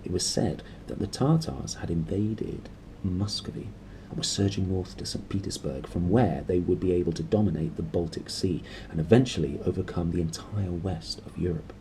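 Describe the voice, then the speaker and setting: A man's voice: sits low, moderate pace, hardly any pauses, mellow, a little hiss or hum, poor audio. A man reading a story aloud expressively. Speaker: reader and host. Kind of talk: expressive audiobook narration with casual asides.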